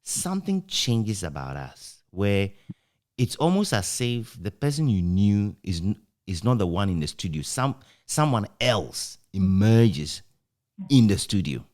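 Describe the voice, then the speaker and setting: Deep-voiced Ghanaian man, reflective. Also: big pitch swings, measured pace, darkish tone. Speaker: interviewer, author reminiscing with former colleague. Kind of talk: conversational, reminiscing interview.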